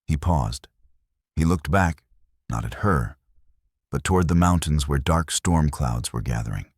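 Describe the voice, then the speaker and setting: A man narrating a scripted western story in a solemn, suspenseful, dramatic way with deliberate phrasing. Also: very deep voice, animated, dry recording, studio quality.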